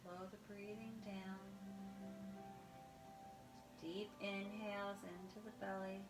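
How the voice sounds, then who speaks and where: A woman leading a guided yoga class, giving calm, step-by-step instructions. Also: mid-range voice, slow. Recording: echoey room with music behind. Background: music.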